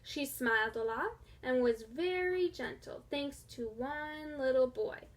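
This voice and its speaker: High-voiced woman reading slowly. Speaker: storybook reader. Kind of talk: scripted children's story narration.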